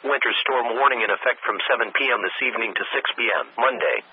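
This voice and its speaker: A synthetic text-to-speech man reads a scripted weather warning bulletin over the radio in measured, impersonal, segmented phrases. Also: medium pitch, noisy background. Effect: weather radio broadcast. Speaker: automated weather radio announcer. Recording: tinny narrowband weather radio broadcast.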